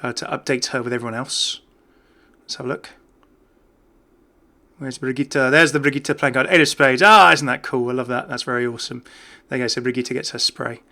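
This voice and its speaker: Man, casual gameplay commentary, bright forceful tone, frequent vocal fry, chatty, enthusiastic, unscripted. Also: mid-range voice, fairly quick. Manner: chatty, enthusiastic about small details. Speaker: video game commentator.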